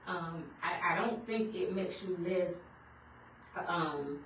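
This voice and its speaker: A woman with a raspy voice co-hosting a radio talk show, speaking firmly and casually. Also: lowish voice, takes it slowly, fairly bright tone, roomy sound, distant mic, slight background noise, rough recording. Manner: firm, with lots of affirming mm-hmms.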